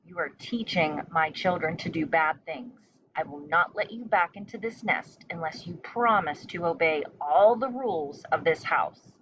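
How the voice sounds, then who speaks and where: A woman's voice: deep voice, animated, measured pace, hardly any pauses, highs cut off, noisy background. A woman voicing a strict, commanding mother bird who scolds her young in a storybook. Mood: stern.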